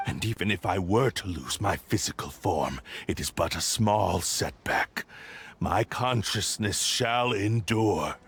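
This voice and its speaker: A gravelly, arrogant cursed blade. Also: male voice, low-pitched, lively intonation, a little slow, full character voice. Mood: menacing. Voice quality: gravelly, menacing. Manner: haughty, declaiming threats, swells into shouts. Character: arrogant cursed blade possessing a man's body. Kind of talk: game voice acting. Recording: game soundtrack under voice.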